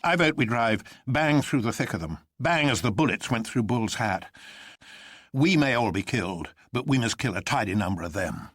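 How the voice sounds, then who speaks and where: A man's voice: medium pitch, lively intonation, moderate pace, a bit bright, no room sound, studio quality, voice pushed up. A man voicing a bold idealist who is earnest and a little sardonic in a story.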